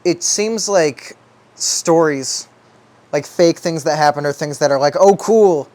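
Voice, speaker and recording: Higher-voiced young man thinking aloud. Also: unhurried, a little mellow. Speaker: video essayist. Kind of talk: rambling reflective monologue.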